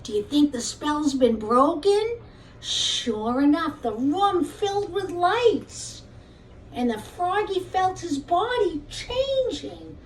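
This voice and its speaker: High, animated woman storyteller for children. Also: slow pace. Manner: addresses young listeners directly with simple phrasing. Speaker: young children's teacher, storyteller. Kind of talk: children's storytelling, puppet show. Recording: distant mic with faint background noise.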